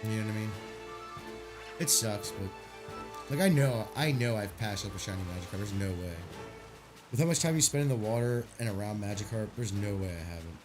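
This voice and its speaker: Rambling stuffy-nosed man, low voice. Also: brisk, music in the background. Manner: rambling, laid-back, swears casually. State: stuffy from a sinus headache. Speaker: game streamer. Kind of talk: casual chat while streaming a game.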